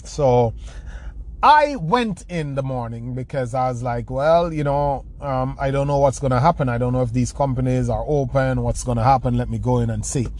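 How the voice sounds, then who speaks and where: A man's voice: mid-range voice, normal speed, a little mellow. A man with a Jamaican accent from the rural countryside, giving a motivational talk and telling stories, with frequent restarts and repeated words. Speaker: motivational speaker. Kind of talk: motivational talk answering a question.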